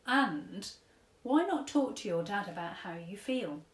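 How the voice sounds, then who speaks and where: A woman voicing a gentle old tramp. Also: medium pitch, expressive, lightly acted. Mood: gentle advice. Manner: slow, gentle, old-fashioned speech. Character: kindly old male tramp, gentle and wise. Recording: echoey room.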